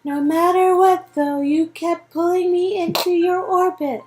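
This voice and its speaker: A woman voicing an awkward, earnest, nerdy young woman from a story game, reciting formally and haltingly. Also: very high-pitched, steady tone, darkish tone, a little hiss or hum. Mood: awkward confession.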